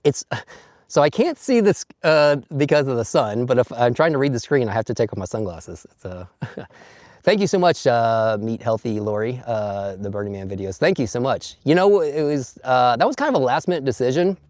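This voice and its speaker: A slightly hoarse man chatting casually on a phone live stream outdoors at a campsite, laughing often and greeting viewers one by one. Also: mid-range voice, lively intonation, moderate pace, a little mellow, no echo, clean studio sound. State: slightly hoarse after losing his voice. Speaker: vlogger. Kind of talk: casual live stream chat, reading comments. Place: outdoors at a campsite in bright sun.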